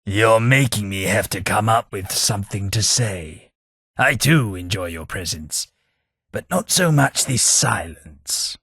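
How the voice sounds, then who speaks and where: Raspy, haughty old British ghoul. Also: male voice, fairly low pitch, lively intonation, measured pace, fairly bright tone, dry recording, studio quality, exaggerated voice. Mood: dry, courteous. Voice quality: raspy, gravelly. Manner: pompous, formal, wry. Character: haughty, dry-witted old aristocratic male ghoul. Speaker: video game companion character. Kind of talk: scripted video game voice acting.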